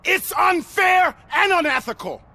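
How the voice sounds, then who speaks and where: A man playing an aggressive gangster in a video game, indignant and shouting in oddly bookish phrases. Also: extremely high pitch, lively intonation, a little slow, exaggerated voice. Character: aggressive male gangster enforcer lecturing on economics.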